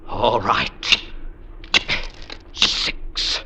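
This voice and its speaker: An actor playing an earnest, ailing mountaineer in old radio drama style, halting and hesitant, reassuring yet unsure of himself. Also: male voice, bright, forceful tone, exaggerated voice. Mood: desperate, exhausted. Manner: halting, breathy exertion and hesitant stammers. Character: earnest ailing male mountaineer, reassuring but self-doubting. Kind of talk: 1940s-50s radio drama acting. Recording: noisy vintage radio recording.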